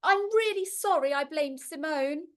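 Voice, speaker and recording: A woman's voice: high-pitched, a little slow, fairly bright tone, pitched above the performer's own voice. A woman voicing an anxious, guilty young boy in a children's storybook, blurting out his words. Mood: guilty confessing. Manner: tumbling, stammering words. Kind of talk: children's storybook read-aloud.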